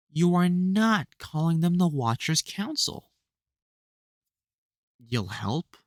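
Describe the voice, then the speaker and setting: A man playing a soft-hearted, protective vampire hunter mentor in an intimate audio roleplay, speaking fondly and hesitantly with wry exasperation. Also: mid-range voice, dry recording, studio quality. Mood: wry, concerned. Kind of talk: intimate audio roleplay acting addressed to the listener.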